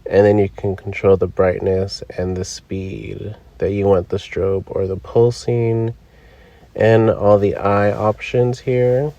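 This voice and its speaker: A man hosting a Halloween channel, casually explaining a product setup step by step in a relaxed voice-over. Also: lowish voice, slow, creaky, no room sound, muffled recording. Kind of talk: casual product review and demo. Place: indoors, voice-over recorded apart from the footage.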